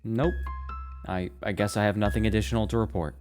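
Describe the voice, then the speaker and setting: A man acting as an earnest field agent in an audio drama. His voice sits fairly low, and he talks politely and a bit awkwardly, casual under the formality. Music runs behind him. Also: fairly even intonation, brisk, darkish tone, close to an everyday voice. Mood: hesitant, polite. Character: earnest, slightly awkward male field agent giving report.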